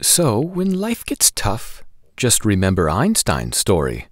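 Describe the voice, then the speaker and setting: Animated man reading to children. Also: on the low side, no room sound, clean studio sound. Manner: deliberate, animated, sing-song delivery. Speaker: children's storytime host. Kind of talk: expressive read-aloud narration for children. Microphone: lapel microphone.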